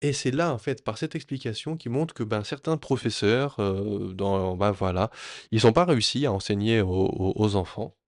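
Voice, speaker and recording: A man sharing a personal story in a reflective, earnest way, often pausing and restarting his sentences. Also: fairly low pitch, dry recording, studio quality. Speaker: vlogger.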